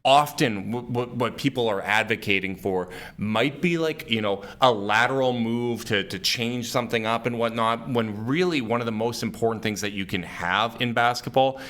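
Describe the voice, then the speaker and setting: A man hosting a sports podcast, his voice a bit raspy and hoarse from cheering, breaking down a game with emphatic, casual analysis. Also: mid-range voice, normal speed, hardly any pauses. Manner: energetic, emphatic basketball analysis. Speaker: podcast host.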